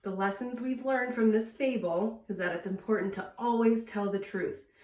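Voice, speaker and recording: A woman's voice: medium pitch, normal speed, a little mellow. A woman reading a children's story aloud as a storytime reader, recorded indoors at home. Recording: roomy echo, distant from mic.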